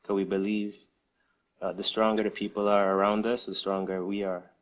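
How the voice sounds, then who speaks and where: A man with a lowish voice talks through his story in an unhurried interview. He pauses often, restarts his sentences, and fills the gaps with "um" and "you know.". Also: flat delivery, lo-fi sound. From United States. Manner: unhurried, hesitant with many fillers and restarts. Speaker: guest, music distribution co-founder. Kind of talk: casual interview answers.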